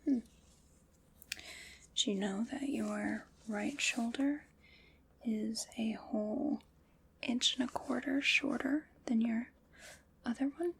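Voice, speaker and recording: A woman plays a calm, courteous armor fitter who whispers softly and takes her time over every word. Her breathy whisper sits a little high, with a gravelly edge on the voiced words, and there is a faint hiss behind her. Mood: gentle, attentive. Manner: soft whispering, slow and attentive. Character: calm polite woman fitting armor, measuring. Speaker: ASMR roleplay creator. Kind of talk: ASMR roleplay whispering.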